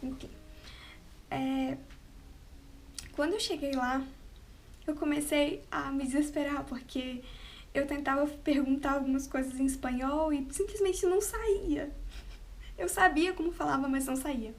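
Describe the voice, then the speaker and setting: High-voiced chatty woman vlogger. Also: fairly even intonation, measured pace, pauses often, darkish tone. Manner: chatty, laughs often, trails off with "né". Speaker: travel vlogger. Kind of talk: unscripted casual storytelling. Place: at home, with cats nearby.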